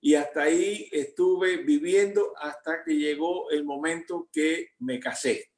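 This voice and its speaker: An older man from Cuba with a Cuban accent, slowly recounting his youth to his grandchildren. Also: fairly high pitch, fairly even intonation, a little mellow, reverberant. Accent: Cuban Spanish. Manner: slow, reflective storytelling. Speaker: grandparent being interviewed. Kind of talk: recounting memories.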